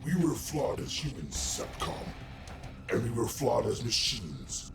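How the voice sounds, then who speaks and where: A man playing a thoughtful, earnest, noble machine warrior in a video game, speaking deliberately. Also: medium pitch, sing-song, vocal fry, over music. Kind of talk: scripted video game acting.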